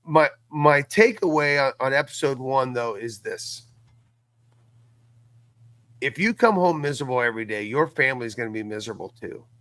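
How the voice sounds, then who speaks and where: A middle-aged man in casual podcast conversation, telling things in an earnest, folksy, animated way. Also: medium pitch, moderate pace, no room sound. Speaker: podcast guest and audio series creator.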